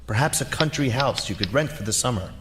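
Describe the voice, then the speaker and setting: A man playing a pompous Victorian gentleman, reading a formal letter precisely in live radio theater. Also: medium pitch, expressive, brisk, natural voice. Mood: pompous, clinical. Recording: noisy live stage recording with clicks.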